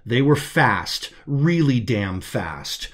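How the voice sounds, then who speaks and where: A man with a medium-pitched voice narrates a science fiction audiobook. His delivery is scripted and measured, using dramatic pauses for weight. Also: big pitch swings. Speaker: author narrating own story.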